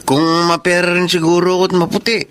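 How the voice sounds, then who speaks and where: An actor with a Filipino accent playing a bitter, rejected suitor in a radio drama, sulky and reproachful. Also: male voice, high-pitched, level tone, fast talker, fairly bright tone, slight background noise. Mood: bitter, hurt. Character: bitter, jealous rejected male suitor of modest means.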